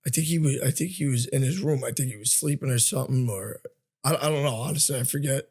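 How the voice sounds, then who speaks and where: A man talks in an informal, conversational style. His voice is mid-pitched and noticeably husky. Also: fast, darkish tone. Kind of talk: casual chat.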